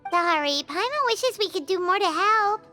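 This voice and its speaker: A woman voicing a tiny, childlike fairy girl companion in a video game, squeaky, perky and earnest. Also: lively intonation, normal speed, sharp tone, exaggerated voice. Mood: apologetic. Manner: perky, refers to herself in third person. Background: background music.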